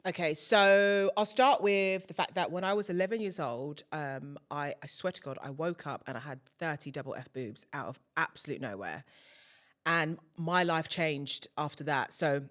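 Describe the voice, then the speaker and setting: A woman's voice: low voice, normal speed, fairly bright tone. Chatty candid woman in her thirties. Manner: candid, chatty, full of filler "like". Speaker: podcast guest, broadcaster. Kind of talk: casual chat.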